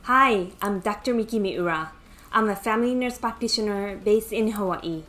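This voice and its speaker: A woman's voice: medium pitch, moderate pace, fairly bright tone, faint music behind. Japanese-accented woman giving earnest advice. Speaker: nurse practitioner mentor. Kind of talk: scripted educational talk.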